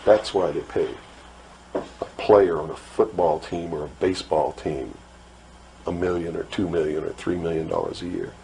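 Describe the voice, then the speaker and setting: A middle-aged American man giving an impassioned lecture, emphatic and full of rhetorical questions and deliberate repetition. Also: deep voice, steady tone, gravelly, dark tone. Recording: noisy old talk recording.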